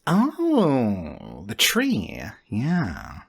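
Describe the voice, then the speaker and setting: A man's voice: mid-range voice, wildly swooping pitch, drawn-out words, gravelly, breathy edge, exaggerated voice. A man voicing a cocky, curious clawed creature in a tabletop game, speaking in a laconic, wryly amused way. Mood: cool, curious.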